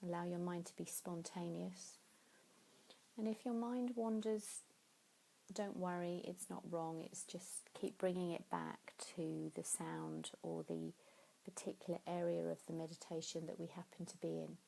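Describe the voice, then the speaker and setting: A woman leading a guided sleep meditation in a soothing, unhurried way, pausing between phrases. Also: lowish voice, steady tone, gravelly, warm tone, low-quality recording. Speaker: yoga nidra meditation teacher.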